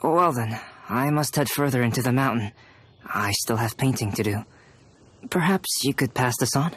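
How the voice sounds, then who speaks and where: A man playing a calm, scholarly young male alchemist in a video game, speaking in a measured, formal, precise way. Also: mid-range voice, slight background noise, natural voice. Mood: calm, assured. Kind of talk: video game character acting.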